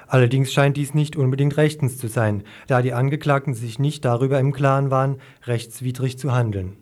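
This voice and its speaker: A man's voice: medium pitch, fairly even intonation, brisk, darkish tone. A man working as a radio reporter, narrating a scripted news report.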